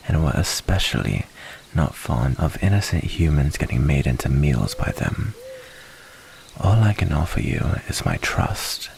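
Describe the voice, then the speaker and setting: A man playing a wary, earnest and protective werewolf in an ASMR roleplay, giving hushed warnings with breathy pauses. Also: rumbling low, slight background noise, full character voice. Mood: grave warning.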